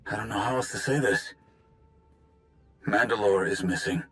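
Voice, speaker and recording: An actor playing an anxious, hurried comrade calling in with news in a video game, urgent and informal. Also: male voice, lowish voice, a bit bright, soft background music, natural voice. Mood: urgent, hesitant. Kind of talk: video game cutscene acting.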